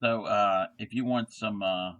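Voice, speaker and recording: A man with a Southern American accent chats his way through a crochet livestream, his voice sitting a little low but coming across bright and forceful. He talks in a relaxed, easy way, with many sentences trailing off into quiet murmurs as he keeps working. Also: unhurried, dull audio. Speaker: host. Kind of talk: casual crochet chat on a livestream.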